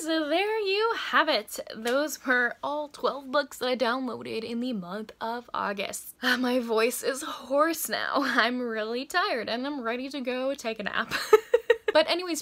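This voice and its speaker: Young woman, higher voice, book vlogger, casual delivery, reading and chatting. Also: a bit bright. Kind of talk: chatty book haul, reading blurbs aloud. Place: indoors in a room with bookshelves.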